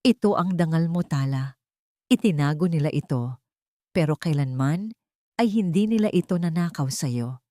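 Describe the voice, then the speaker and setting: A woman's voice: low-pitched, lively intonation, normal speed, long pauses, mellow, dry recording, clean studio sound. A woman with a Filipino accent voicing a remorseful, intense wealthy father in an audiobook drama. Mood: tender, remorseful. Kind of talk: audiobook character dialogue.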